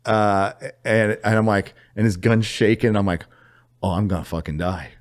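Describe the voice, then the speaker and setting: A middle-aged man from California telling a story as a podcast guest, animated and profane, laughing as he goes. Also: fairly low pitch, moderate pace. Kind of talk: casual storytelling.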